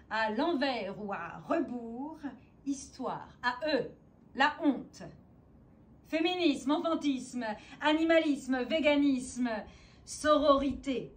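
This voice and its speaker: A woman performing a spoken-word poem in a theatrical, declamatory way, with deliberate phrasing, dramatic pauses and emphasis. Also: fairly high pitch, slight background noise. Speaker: poet and stage performer.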